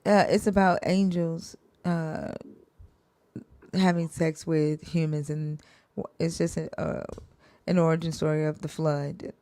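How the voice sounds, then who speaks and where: A woman with a low voice hosts a casual live stream, answering questions in a halting, restarting way. Her voice sounds close and dry, with no room around it. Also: little pitch movement, dark tone, no room sound. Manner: halting, many fillers and restarts.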